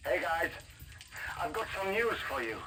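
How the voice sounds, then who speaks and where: A man's voice: fairly high pitch, very animated, normal speed, pushed and bright, low-quality recording. A man playing an earnest resistance informant who relays messages in a video game cutscene, informative and slightly hesitant. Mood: earnest, grave.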